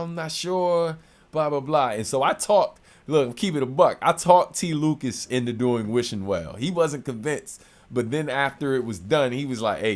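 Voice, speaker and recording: A man with a deep, low-register voice talks loosely and conversationally, answering questions in a home studio. His pitch sits in an ordinary middle range, and he speaks in a relaxed, unhurried way. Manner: relaxed, conversational, many fillers. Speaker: rapper and producer hosting a livestream. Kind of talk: casual livestream Q&A.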